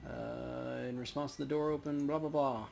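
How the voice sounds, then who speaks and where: A man's voice: mid-range voice, fairly even intonation, normal speed, creaky, a little mellow, soft background music. A man playing a video game as co-host, chatting casually in a laid-back, slangy, sometimes profane way. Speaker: let's play player and co-host. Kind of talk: casual gamer chat.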